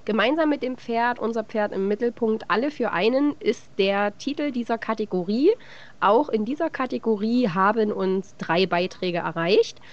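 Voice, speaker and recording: A woman's voice: fairly high pitch, moderate pace, muffled recording. Older woman announcing on a video call. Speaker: co-host introducing the entries. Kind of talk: live-stream presenting. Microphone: headset on a video call.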